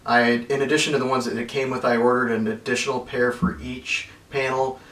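A man's voice: medium pitch, a little fast. Man unboxing gear in a roomy living room. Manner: relaxed and plainspoken, many ums. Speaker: RV owner reviewing gear. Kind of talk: unboxing review. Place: carpeted living room at home. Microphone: far camera. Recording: roomy, from far off, faint noise.

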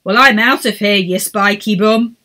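A woman's voice: medium pitch, moderate pace, pushed and bright, lightly acted. A woman voicing a brash, impatient male echidna who keeps storming off. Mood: huffy.

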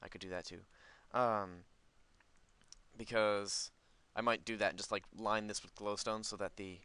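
A man's voice: lowish voice, steady tone, a little slow, bright, forceful tone, smooth, no room sound. A man giving casual, unscripted gameplay commentary, rambling as he thinks aloud. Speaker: gaming video host.

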